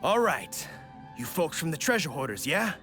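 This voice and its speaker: An actor playing a hapless young wannabe thief in a video game, with folksy rustic phrasing and a hesitant, stammering manner. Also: male voice, on the high side, very animated, moderate pace, over music. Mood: cocky.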